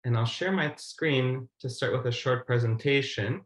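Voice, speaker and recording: A man in his thirties gives a prepared webinar presentation in a formal, measured way over a video call. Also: medium pitch. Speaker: guideline methodologist, webinar presenter. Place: indoor room on a video call. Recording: roomy, echoing webcam audio.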